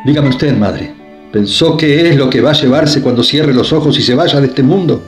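A man's voice: mid-range voice, normal speed, reverberant, faint music behind, natural voice. A man voicing a resolute middle-aged son who confronts his mother in a radio drama. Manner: firm, earnest, impassioned.

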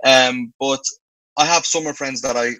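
A man's voice: medium pitch, steady tone, moderate pace, sharp tone, no room sound, rough recording. A man in his twenties with a Dublin Irish accent, talking earnestly as a guest on a video call, often restarting his sentences. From Ireland. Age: 20s. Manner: earnest, with frequent restarts and "you know". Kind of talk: reflective conversational interview. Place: indoor room with light walls. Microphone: video call webcam.

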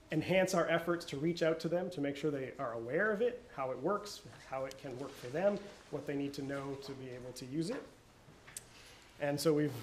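Measured government panelist, 40s, podium mic, echoey room. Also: male voice, fairly high pitch, darkish tone, slight background noise. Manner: measured, formal, bureaucratic. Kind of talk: panel presentation. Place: university lecture room. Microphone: podium microphone.

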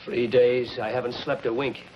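A middle-aged actor playing a bitter, brooding, worn-out disgraced surgeon in a 1950s television crime drama. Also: male voice, mid-range voice, flat delivery, moderate pace, lightly acted. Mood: weary. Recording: muffled, hissy 1950s TV soundtrack.

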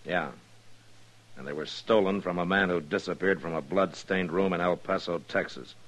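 A frontier marshal, very deep, husky, calm and probing, 1950s radio drama. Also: male voice, normal speed, rough recording. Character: steady frontier marshal, tall man. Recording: old radio recording with faint music.

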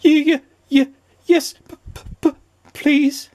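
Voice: very high-pitched, slow pace, darkish tone, dry recording, voice pushed up. A storybook narrator voicing a tiny, hysterically nervous little man with melodramatic, shrieking exclamations. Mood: stammering fear.

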